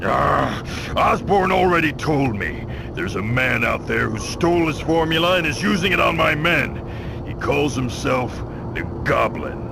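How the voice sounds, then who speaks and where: A man's voice: on the high side, lively intonation, measured pace, creaky, noisy background. A man playing a huge, imperious crime boss in a video game, commanding and threatening. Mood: grim. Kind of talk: video game cutscene voice acting. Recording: loud music over the voice.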